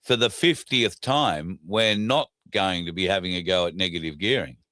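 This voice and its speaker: An Australian man with a scratchy, hoarse throat, hosting a casual talk show, plain-spoken and indignant, pausing often with fillers. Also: deep voice, bright, forceful tone, dry recording. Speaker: show host.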